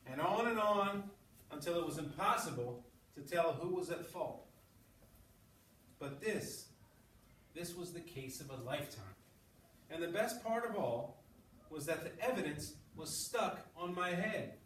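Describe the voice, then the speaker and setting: A man's voice: fairly high pitch, a bit bright. A man teaching sixth-grade English, reading aloud and explaining as he goes, often checking in with short questions. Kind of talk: classroom read-aloud with running commentary and questions to pupils. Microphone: at some distance from the speaker. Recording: roomy, distant mic with background noise.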